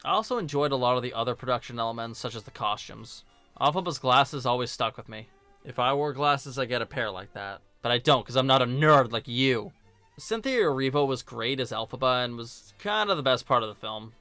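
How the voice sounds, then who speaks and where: A man's voice: medium pitch, expressive, brisk, pushed and bright, clear, resonant tone, soft background music. A man giving scripted video-essay commentary in a sarcastic, informal, joking manner. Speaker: video reviewer and host.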